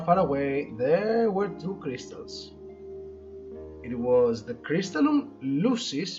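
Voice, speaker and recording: A man with a noticeably high voice hosts a relaxed let's play. He reads game text aloud and adds chatty, halting asides. The mic sounds dull and lo-fi, with some music playing behind him. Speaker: host of a gaming playthrough. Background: game background music.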